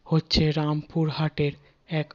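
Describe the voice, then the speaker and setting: Flat-toned horror storyteller. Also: mid-range voice, dull audio, very noisy. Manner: dramatic pauses and repeated words for suspense. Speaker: narrator. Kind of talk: scripted horror story narration.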